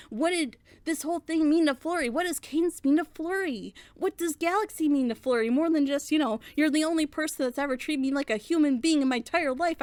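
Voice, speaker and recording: A woman with a high-pitched voice gives a casual, opinionated review. She rambles through her explanations emphatically, leaning on rhetorical questions. The sound is dry, with hardly any room echo. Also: a little mellow. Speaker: reviewer on a reaction show.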